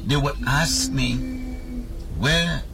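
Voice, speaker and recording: A man's voice: medium pitch, drawn-out words, vocal fry, over loud music. A man with a Trinidadian accent telling a comic story about his travels. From Trinidad and Tobago. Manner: storytelling with a lively, sweeping delivery. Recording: loud background noise.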